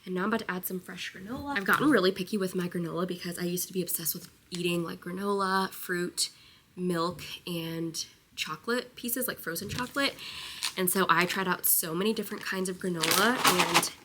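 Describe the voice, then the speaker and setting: A woman's voice: on the low side, a little fast, fairly bright tone, a little hiss or hum. A young woman, a teacher, chatting casually to her phone camera with a stuffed-up nose, filling her talk with "like". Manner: chatty, many "like"s, talks to camera. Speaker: teacher and vlogger. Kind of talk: casual vlog chat.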